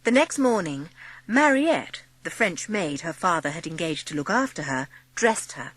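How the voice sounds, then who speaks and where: A woman's voice: lowish voice, big pitch swings, normal speed, fairly bright tone, no echo. A woman narrating a scripted storybook for a dramatised audiobook. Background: music.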